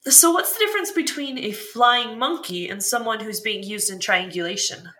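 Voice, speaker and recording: A woman's voice: fairly high pitch, normal speed, sharp tone. An actress playing an eager, curious, sympathetic woman who asks questions and reacts in a scripted fiction podcast. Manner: inquisitive, reactive. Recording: roomy echo.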